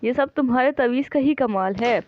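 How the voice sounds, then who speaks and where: A woman's voice: high-pitched, normal speed, dry recording, highs cut off, close to an everyday voice. A woman voicing a married woman in a domestic moral cartoon. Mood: gloating.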